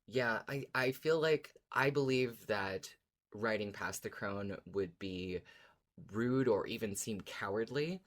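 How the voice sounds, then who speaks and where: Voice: mid-range voice, takes it slowly. A podcast co-host in casual discussion, arguing a case conversationally and at times reading out comments.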